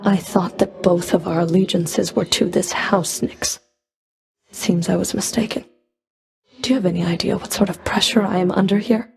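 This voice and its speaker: A woman playing a cold, proud Fury of the underworld in a video game, dry and cutting, with a creaky edge to her voice. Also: fairly low pitch, steady tone, moderate pace, a little mellow. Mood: angry, accusing. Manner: dry, cutting, haughty, controlled. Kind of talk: video game character voice lines.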